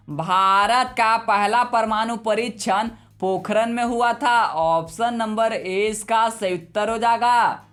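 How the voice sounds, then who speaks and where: Quiz teacher, mid-pitched voice, slow measured pace, repetitive question-and-answer delivery, music underneath. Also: a bit bright. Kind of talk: scripted exam quiz narration. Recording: music bed behind the voice. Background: background music.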